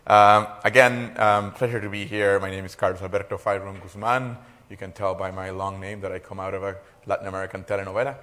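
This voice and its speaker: A man's voice: low voice, little pitch movement, quick pace, few pauses, fairly bright tone. A man of around forty from Rica, giving a keynote lecture with a lapel mic. He speaks hesitantly, with many fillers and small jokes. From Costa Rica. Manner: hesitant, filler-heavy, joking. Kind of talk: academic lecture. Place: large lecture hall. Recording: long reverb in a lecture hall.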